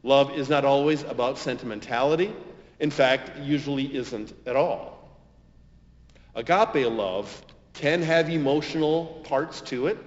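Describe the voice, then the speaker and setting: An American man preaching a homily as a pastor, in measured, deliberate phrases with emphatic stresses and pauses. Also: medium pitch. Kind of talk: sermon, homily. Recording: dull, reverberant hall recording.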